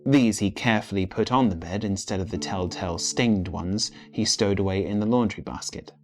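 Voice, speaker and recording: A man reading literary fiction as a storyteller, with measured, theatrical phrasing. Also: lowish voice, faint music behind. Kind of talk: dramatic literary narration.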